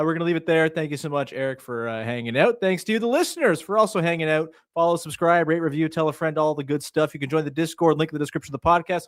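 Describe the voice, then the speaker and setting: Higher-pitched Canadian man, dry close sound. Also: no echo. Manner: informal, chatty, slangy. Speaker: podcast host. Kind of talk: casual sports podcast hosting.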